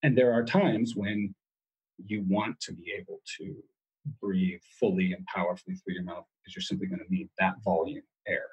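A man's voice: low-pitched, fairly even intonation, moderate pace, darkish tone, breathy edge, low-quality recording. A man speaking as an interview guest, explaining in an emphatic way and often repeating his key words.

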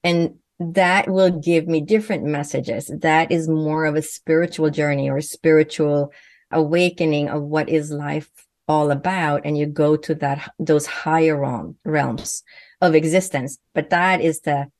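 A woman speaks in a low, deep register, earnest and fluent, though she often restarts her phrases. The sound is close and dry, with no room echo. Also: fairly even intonation, unhurried, darkish tone. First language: Swedish. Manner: earnest, fluent with frequent self-corrections. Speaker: guest, medium and physician. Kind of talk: conversational interview answers.

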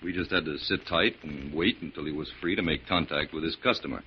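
A man's voice: rumbling low, fairly quick. A man playing a captured killer confessing in a resigned, matter-of-fact way in a 1940s radio drama. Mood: resigned confession. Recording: muffled, noisy 1940s radio recording.

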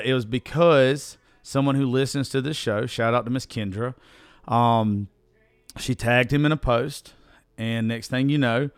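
A man's voice: medium pitch, clear, resonant tone, dry recording. A man with a Southern US accent hosts a podcast solo in a casual, rambling, folksy and profane way, his voice strained from recently losing it. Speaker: podcast host.

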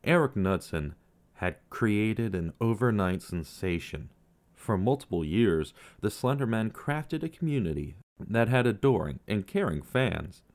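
A man narrating a scripted true-crime podcast as its host, with measured storytelling and dramatic pauses. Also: fairly low pitch, expressive, darkish tone.

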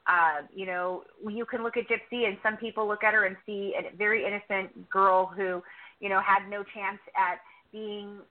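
A woman's voice: mid-range voice, steady tone, moderate pace. Woman explaining over a tinny phone line. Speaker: podcast co-host as interview guest. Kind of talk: conversational interview answer, explanatory.

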